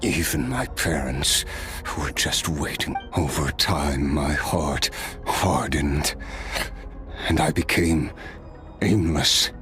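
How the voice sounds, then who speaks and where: Very deep, weary immortal swordsman. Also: music in the background. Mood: weary, reminiscing. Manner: slow, brooding, reflective storytelling. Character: weary cursed immortal male swordsman, noble-born, wryly resigned. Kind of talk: dramatic video game voice acting.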